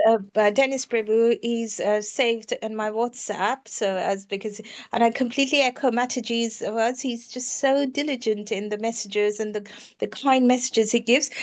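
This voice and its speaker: A woman's voice: fairly high pitch, darkish tone. A woman on a devotional group call, speaking with effusive enthusiasm. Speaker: participant. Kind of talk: casual group video call.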